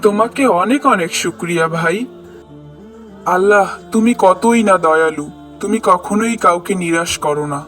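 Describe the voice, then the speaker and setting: A man's voice: high-pitched, normal speed, fairly bright tone, soft background music. A man voicing a devout, trusting traveler in a cartoon, earnest and prayerful in manner. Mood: grateful. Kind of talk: cartoon voice acting.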